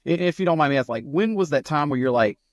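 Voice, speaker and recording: An American man hosting a podcast, interviewing in an informal, halting, conversational way. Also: a little high, expressive, dry recording, studio quality. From United States.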